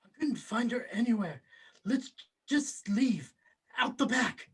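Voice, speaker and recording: A man's voice: extremely high pitch, lively intonation, slow, breathy edge, heavily acted. A man acting a browbeaten grown son, whining and hesitant, in a table read of a film script. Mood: reluctant, resigned. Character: whiny, browbeaten grown son, reluctant schemer.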